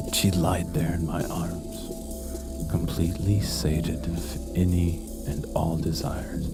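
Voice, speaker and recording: Man acting a very deep, gravelly brooding seducer. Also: exaggerated voice. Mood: dazed, wistful. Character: doomed callous male seducer recounting a dark tryst. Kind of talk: brooding first-person horror audio drama narration. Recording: prominent music under the voice.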